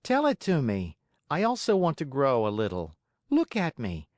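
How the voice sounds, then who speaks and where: A man voicing a whiny, eager marionette boy in a storybook, with a high childlike voice and pleading, dramatic delivery. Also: wildly swooping pitch, moderate pace, a little mellow, no echo, clean studio sound. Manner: pleading, emphatic, exclaiming. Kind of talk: audiobook character acting.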